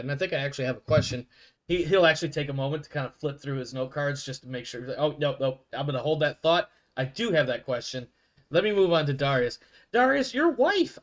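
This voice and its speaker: A man hosting an in-character sports league show, animated and quick to correct himself with asides. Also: a little high. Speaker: show host.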